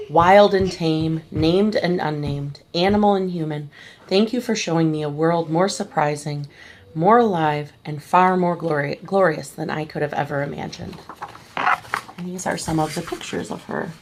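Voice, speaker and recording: A woman reading a children's picture book aloud at a church service, gently and slowly, with small restarts. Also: fairly low pitch, slight background noise. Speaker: story reader at a church service.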